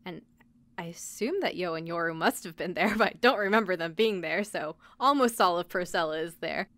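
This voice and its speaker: A woman's voice: lowish voice, fairly quick, fairly bright tone, dry recording. A woman podcast host giving a casual, chatty recap, speaking conversationally with lots of filler "like". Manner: conversational, peppered with "like".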